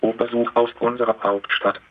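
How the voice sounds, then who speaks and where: A synthetic male computer voice for a conversational robot, halting and stilted, heard through a dull phone line. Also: medium pitch. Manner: flat, stilted. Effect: computer speech synthesis. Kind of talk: synthetic chatbot small talk. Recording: dull, noisy phone pickup.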